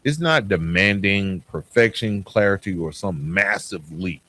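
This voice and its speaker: Expressive man hosting a motivational podcast. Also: deep voice, unhurried. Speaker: podcast host. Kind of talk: motivational self-improvement podcast monologue.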